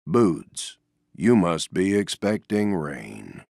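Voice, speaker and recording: A man voicing a gloomy, resigned but gentle stuffed donkey in a video game, with a gravelly drawl and a glum, deadpan, understated manner. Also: sits low, drawn-out words, no room sound, clean studio sound, heavily acted. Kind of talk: video game character voice lines.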